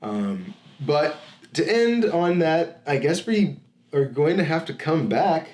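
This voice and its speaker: A man's voice: a little high, sing-song, takes it slowly, a little mellow. A man co-hosting a podcast in a laid-back casual chat, often agreeing and using filler words. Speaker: podcast co-host. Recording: roomy echo.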